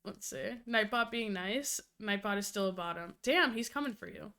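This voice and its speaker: Young woman, nasal and stuffed-up from a cold, chatty live-stream talk, swears a lot, laughs often, smooth clean tone. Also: mid-range voice, animated, normal speed, sharp tone. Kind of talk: casual live-stream chat.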